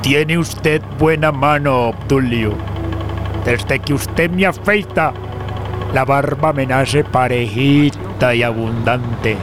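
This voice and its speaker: An actor playing a condescending, menacing military commander in an audio drama. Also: male voice, medium pitch, slow pace, music in the background, close to an everyday voice. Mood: pleased. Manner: condescending, self-justifying, chuckling contemptuously.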